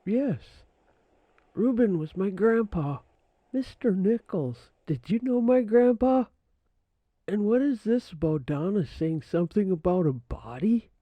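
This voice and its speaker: A man's voice: sits high, very animated, unhurried, dry recording, heavily acted. A man playing a young, earnest, plain-spoken scrapyard owner in an old time radio drama, in muffled phone-like sound. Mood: curious.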